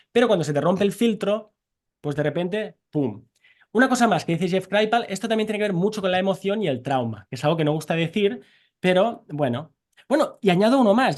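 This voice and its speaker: A man in his forties from Barcelona talks in an exuberant, joking way, racing through tangents. His voice sits a little high. He is a scientist being interviewed. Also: a little mellow. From Barcelona, Spain. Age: 40s. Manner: exuberant, jokey, rapid tangents. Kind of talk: animated conversational interview.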